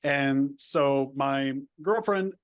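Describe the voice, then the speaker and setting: A man's voice: mid-range voice, extremely slow, clean tone. A man from the El Paso border area, a native Spanish speaker, telling his life story in a rambling, casual interview with many restarts. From United States, El Paso border area. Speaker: guest.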